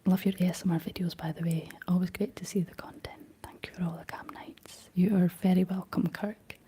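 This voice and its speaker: A young woman with a Scottish accent hosting a whispered ASMR livestream, chatting gently through viewer comments in a soft, unhurried way; her voice is deep for a woman. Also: level tone. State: slight frog in throat.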